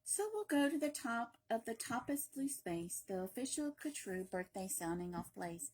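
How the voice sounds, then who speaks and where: A woman reads a picture book to children in a fairly high voice. Her delivery is playful and emphatic, like a library story time, and she drops in short asides between the lines of the tale. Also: big pitch swings, normal speed, a little mellow. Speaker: library read-aloud reader. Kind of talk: expressive children's picture-book read-aloud with brief asides.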